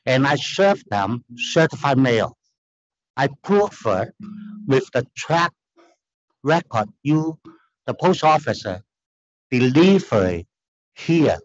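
Middle-aged Chinese-accented man pleading his case at a lectern, slow and earnest, muffled sound. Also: mid-range voice, very animated. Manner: halting non-native phrasing, earnest and insistent. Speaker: applicant appealing a denial. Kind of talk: formal hearing testimony. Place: large hearing room, standing at a lectern.

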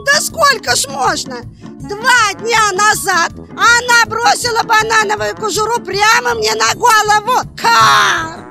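A woman's voice: extremely high pitch, a little slow, vocal fry, music in the background, exaggerated voice. A woman voicing a touchy, quarrelsome female crow in a children's puppet show, indignant and punctuating her talk with caws. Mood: aggrieved.

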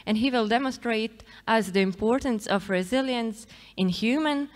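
A woman's voice: fairly high pitch, normal speed. A young woman, introducing a speaker from notes at a stage microphone in a theatre. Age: young adult. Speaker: event presenter introducing a speaker. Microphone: microphone on a stand. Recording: echoey hall with long reverb.

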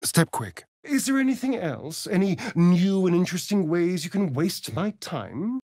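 A man's voice: sits high, very animated, a little slow, a little mellow, no room sound, studio quality, full character voice. A man with a British accent voicing a vain, sardonic, seductive vampire rogue in a fantasy video game, with an arch, flamboyant, mocking manner. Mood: wry, mocking.

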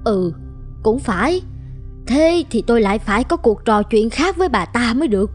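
An actress playing a gossipy, catty middle-aged wife in a cartoon folk tale, expressive. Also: female voice, a little high, moderate pace, natural voice. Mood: pleased, sly. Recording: music behind the voice.

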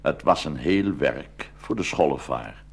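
A man telling a fairy tale as a scripted narrator, with a husky, creaky voice. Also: very deep voice, animated, a little slow. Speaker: storyteller.

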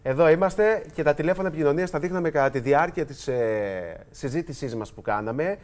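A man hosting a TV talk show, summing up in a measured way from a studio set on a lapel mic. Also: fairly high pitch, a little mellow. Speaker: TV host.

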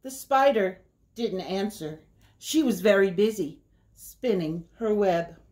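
A middle-aged woman hosting a children's story time, reading aloud in a deliberate, sing-song way. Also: mid-range voice, a little mellow. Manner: slow, deliberate, sing-song repetition for young listeners. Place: small indoor room by a window.

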